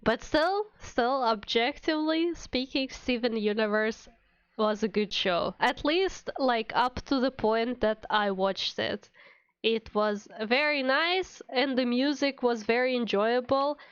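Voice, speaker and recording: A woman's voice: on the high side, takes it slowly, smooth, no echo, dull audio. A woman streamer chatting casually with her viewers while playing a game, sounding a little under the weather. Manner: chatty, addresses viewers by name. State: sick with a cold. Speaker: VTuber streamer.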